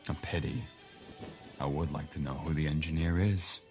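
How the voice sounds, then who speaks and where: A man's voice: extremely low pitch, steady tone, moderate pace, darkish tone, soft background music. A man playing a sardonic, condescending crime boss who speaks with formal politeness in an audio drama. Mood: sardonic, measured. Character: smooth, condescending male gangland crime boss.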